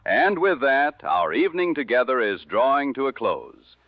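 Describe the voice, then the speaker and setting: A man playing a genial, courtly 1960s radio host, speaking formally with measured, dry humour. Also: mid-range voice, big pitch swings, no room sound, highs cut off, natural voice. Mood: genial, wry. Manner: measured, formal, dryly humorous. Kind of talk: 1960s radio broadcast acting. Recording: dry, band-limited vintage radio sound.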